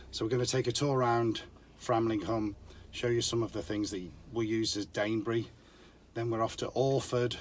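A middle-aged man with a hoarse voice from a cold, rambling casually while giving a walking tour. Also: lowish voice, a little hiss or hum. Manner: rambling, chatty, with frequent ums. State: hoarse, losing his voice from a cold. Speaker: vlogger touring filming locations. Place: outdoors on small town streets.

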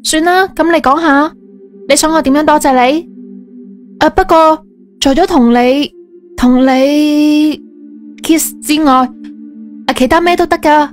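A woman playing a bossy yet soft-hearted female company boss in an audio drama, with a Cantonese accent. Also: sits high, measured pace, long pauses. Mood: coy, insistent. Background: background music.

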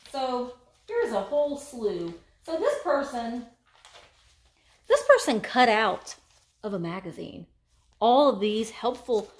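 A woman's voice: mid-range voice, vocal fry. A woman in her forties chatting casually through a vintage haul video, rambling with fillers and self-corrections, filmed on a close phone camera inside an antique shop. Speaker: vintage reseller and vlogger. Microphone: phone camera held close.

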